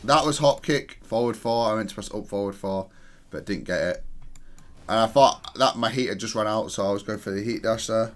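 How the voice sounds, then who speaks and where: A man's voice: lowish voice, fairly quick, fairly bright tone. A man reviewing his own play in analytical gameplay commentary, speaking in a matter-of-fact, self-critical way. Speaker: gamer reviewing own match.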